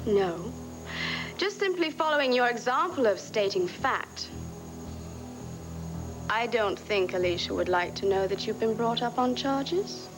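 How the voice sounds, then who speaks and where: A scheming woman with a somewhat high, silky voice. She coaxes and flatters, then slides into veiled threats without raising her voice. The tone is daytime soap drama. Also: a little slow, long pauses, over music, low-quality recording. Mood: threatening. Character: scheming woman pressing for a hotel job.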